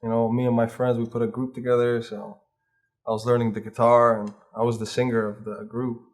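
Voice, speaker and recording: Young male artist from Palestine; mellow, unhurried, monotone talker in a barbershop. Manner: laid-back, low-key, flat and unhurried. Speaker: hip hop artist guest. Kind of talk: casual interview chat. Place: barbershop, getting a haircut.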